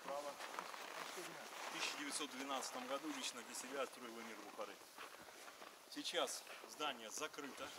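A man's voice: mid-range voice, moderate pace, pauses often. A man working as a tour guide, talking to his group outdoors. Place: outdoors in a snowy park. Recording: very noisy outdoor recording, off camera.

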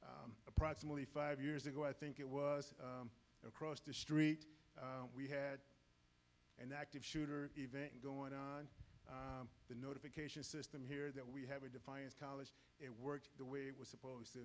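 A middle-aged man with a loud voice, giving a live safety training talk on a handheld mic in a large auditorium, moving around as he speaks. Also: medium pitch, moderate pace, slight background noise. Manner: energetic, moves around the stage while talking. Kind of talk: live presentation to an audience. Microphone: handheld microphone.

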